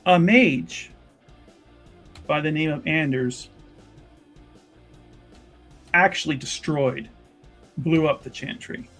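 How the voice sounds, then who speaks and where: A man voicing a composed, courteous but pointed noblewoman in a tabletop game, speaking in a halting, measured, diplomatic way. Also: on the high side, a bit bright. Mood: grave. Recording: music behind the voice.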